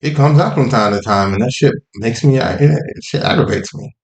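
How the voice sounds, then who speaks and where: A man's voice: mid-range voice, moderate pace, roomy sound. A man from Wales in casual podcast chat, blunt and profane. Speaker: podcast co-host.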